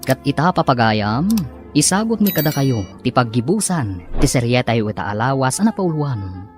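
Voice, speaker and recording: A man's voice: medium pitch, sing-song, moderate pace. A man announcing a radio drama in a dramatic scripted style, over music. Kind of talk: dramatic scripted programme intro.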